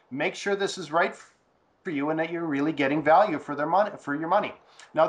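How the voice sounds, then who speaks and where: A man with a hoarse, gravelly voice from a cold, explaining things steadily over a phone call and asking rhetorical questions. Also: a little high. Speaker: real estate guest expert.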